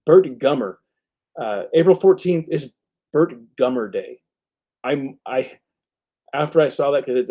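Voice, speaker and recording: Guy rambling casually on a livestream, soft-edged mellow voice, keeps stopping to find his words. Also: medium pitch. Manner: halting, searching for words. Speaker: live stream host. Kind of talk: casual rambling movie chat.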